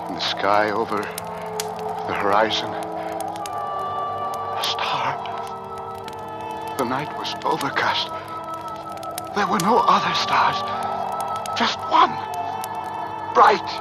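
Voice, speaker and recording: Slow, desperate, exhausted polar sailor. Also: male voice, mid-range voice, animated, a loud crowd. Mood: frantic. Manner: dramatic, halting, clipped phrases. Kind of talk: old-time radio drama acting. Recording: vintage radio recording under loud music.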